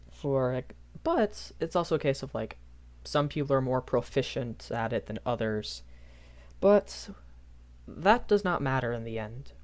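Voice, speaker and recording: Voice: medium pitch, darkish tone, close to an everyday voice. A performer voicing a shy, earnest young magic academy professor in a rambling audio roleplay, hesitant and often self-correcting. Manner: hesitant, self-correcting, apologetic, nervous chuckles. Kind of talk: improvised character audio roleplay, rambling lecture.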